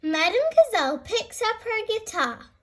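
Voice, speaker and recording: A high-voiced woman reads a children's picture book aloud. Her delivery is slow and expressive, with a lively melody that swings up and down for a very young audience. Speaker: storyteller, channel host.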